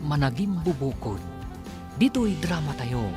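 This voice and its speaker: Male serial announcer: dramatic scripted read, very lively pitch, music behind. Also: unhurried. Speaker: radio drama announcer and narrator. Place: radio studio.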